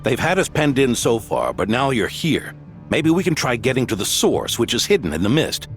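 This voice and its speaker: An actor playing an earnest, courteous soldier of a guardian order in a video game, formal and measured. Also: male voice, mid-range voice, big pitch swings, soft background music. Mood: urgent, focused. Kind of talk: English video game voice acting.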